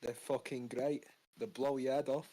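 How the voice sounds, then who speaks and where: Laid-back Scottish rocker with swagger. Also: male voice, medium pitch, flat delivery, moderate pace, warm tone, a little hiss or hum, low-quality recording. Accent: Fife Scottish with some Mancunian. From Scotland. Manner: laid-back, swearing, boastful. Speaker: rock band frontman. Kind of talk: casual interview.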